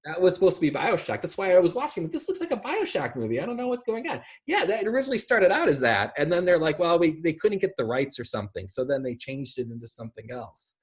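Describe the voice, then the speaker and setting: Animated, slightly husky man on a video call. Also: fairly high pitch, fast talker, few pauses. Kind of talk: casual film discussion on a video call. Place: indoor room at home. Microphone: video call, sitting back from a computer.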